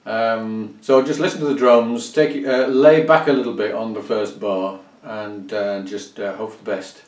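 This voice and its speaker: An older man giving an informal instructional music lesson as a fiddle teacher, speaking with hesitant pauses mid-phrase. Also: fairly low pitch, fairly even intonation, darkish tone. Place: small indoor music room at home. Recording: roomy, distant microphone sound.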